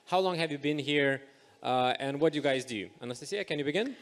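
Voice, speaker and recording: A man moderating a live panel discussion on stage, upbeat and energetic, with many filler sounds. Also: a little high, fast. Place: event stage.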